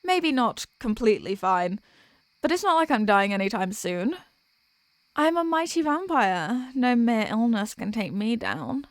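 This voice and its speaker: Woman voicing a theatrical high-voiced vampire girlfriend. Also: expressive, a little slow, no room sound. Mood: proud, defiant. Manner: theatrical, pleading, mock-tragic. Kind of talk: scripted roleplay audio monologue.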